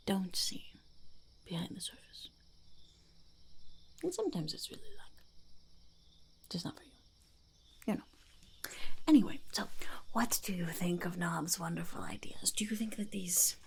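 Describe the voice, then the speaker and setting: A woman voicing a smug, blunt female goblin in an ASMR roleplay, speaking in a croaky half-whisper and self-importantly. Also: mid-range voice, slight background noise. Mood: pleased, scheming. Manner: self-important, refers to herself in third person.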